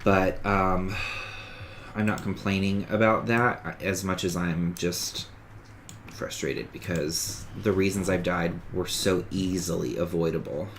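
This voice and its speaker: Deep-voiced sniffly man narrating gameplay. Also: fairly even intonation, slow, a bit bright, slight background noise. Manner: rambling, self-correcting, talks through each game step. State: sniffly from a cold. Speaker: gaming streamer. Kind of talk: casual let's-play commentary.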